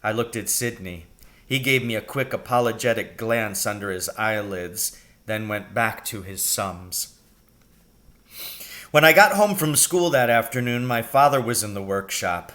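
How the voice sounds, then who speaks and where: A man reading a children's novel aloud as an expressive storybook narrator. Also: fairly low pitch, measured pace.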